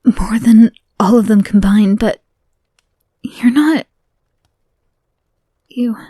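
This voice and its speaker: A slow, hushed young woman obsessed with her captive, as a woman acts her out, her murderous devotion carried in soft whispers. She drifts from chilling calm to frantic apology and back. The voice sits close and dry, with no room around it. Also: on the high side, slightly rough, dry recording, heavily acted. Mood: possessive, tender. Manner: switches between menacing calm and frantic apology. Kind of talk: audio roleplay to a listener.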